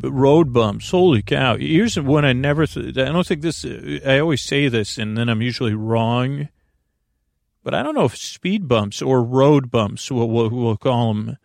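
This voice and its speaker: A man with a creaky voice hosting a bedtime podcast, rambling in a lulling, halting way and often interrupting himself as he drifts into tangents. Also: medium pitch, no room sound, clean studio sound. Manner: meandering, halting, digressive, self-interrupting; soothing, lulling. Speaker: podcast host.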